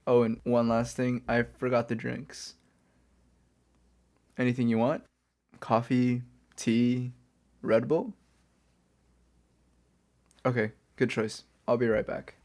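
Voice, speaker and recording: A smug, playful supervillain , acted by a man, speaks softly at a medium pitch. He is wry and casually conversational, like a kidnapper chatting with an old rival in an ASMR roleplay. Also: little pitch movement, slow pace, long pauses. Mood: gentle, hospitable. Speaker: audio roleplay performer. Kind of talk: soft-spoken ASMR roleplay acting.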